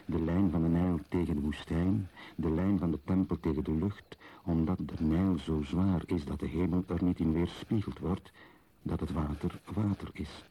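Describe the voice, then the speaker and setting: A low-voiced, reflective archaeologist in a radio play. His voice is dark and mellow. He delivers a literary monologue in a measured, solemn, husky manner. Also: fairly even intonation, low-quality recording. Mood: pensive, reverent. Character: contemplative male archaeologist tenderly unwrapping an Egyptian mummy.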